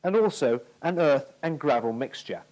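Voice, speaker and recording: A man's voice: fairly high pitch, lively intonation, normal speed, darkish tone, poor audio. A British man giving formal, precise scripted narration for an instructional military training film from the 1980s.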